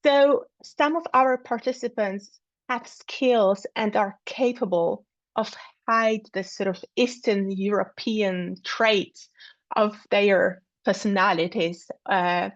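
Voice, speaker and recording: A woman giving an academic talk over a video call, halting often with fillers and repeated words. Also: medium pitch, steady tone, dry recording. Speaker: academic speaker. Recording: dull lo-fi sound.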